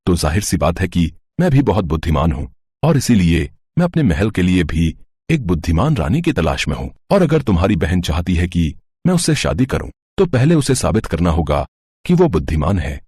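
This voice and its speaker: Heron prince for a cartoon story voiced by a man: vain, self-assured, very deep husky tone with wide swings of pitch. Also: very animated, normal speed, darkish tone, no room sound, heavily acted. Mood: boastful. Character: proud clever male heron prince. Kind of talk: animated storybook voice acting.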